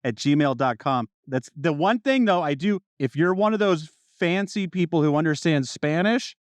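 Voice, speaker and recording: A male podcast host with a fairly high voice talks casually. His pitch moves around a lot, and the sound is dry with little room to it. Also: expressive, moderate pace, no echo. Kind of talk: casual chat.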